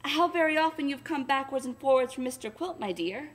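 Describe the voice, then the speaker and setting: A woman voicing a timid, submissive wife who speaks softly and stammers in a storybook reading. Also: sits high, lively intonation, brisk, pitched above the performer's own voice. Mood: gentle. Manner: stammering, soft, hesitant.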